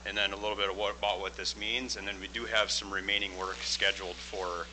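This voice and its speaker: A middle-aged man giving a plain-spoken project presentation at a public board meeting, loud and full of fillers. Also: low voice, little pitch movement, quick pace, background noise. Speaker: construction project manager presenting. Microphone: microphone.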